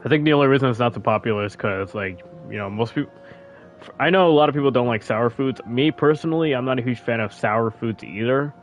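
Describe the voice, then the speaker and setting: A man's voice: mid-range voice, quick pace. A man giving casual gameplay commentary, rambling and thinking aloud as he plays. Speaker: gaming video creator. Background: game music.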